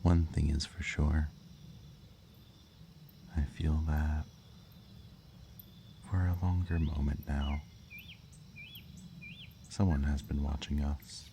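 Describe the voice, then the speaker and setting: A man playing a smug, taunting kidnapper villain in an audio roleplay, with a mocking, theatrically drawn-out delivery. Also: very deep voice, little pitch movement, vocal fry, background noise, full character voice. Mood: sly, menacing. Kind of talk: scripted audio roleplay, ASMR.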